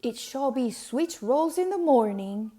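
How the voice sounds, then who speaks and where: A woman's voice: high voice, animated, measured pace, warm tone. A woman voicing a grand storybook Duchess with an emphatic, regal manner. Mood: declaring. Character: grand, exclaiming Duchess, an adult noblewoman.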